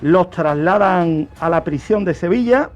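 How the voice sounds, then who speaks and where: A man's voice: high-pitched, expressive, measured pace. A man from Spain with a Spanish accent presenting a true-crime radio segment in a didactic way, laying out a case and putting questions to listeners. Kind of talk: semi-scripted explanation of a crime case.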